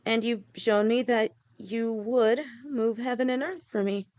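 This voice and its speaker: A devoted young lamia woman with a snake's tail, played by a woman in an audio roleplay, speaking softly to the one she loves. Her voice sits a little high, and she takes her time, stammering now and then as the words come. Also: fairly even intonation, muffled recording, lightly acted. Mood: heartfelt. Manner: soft, halting, stammering. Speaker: girlfriend in a listener roleplay.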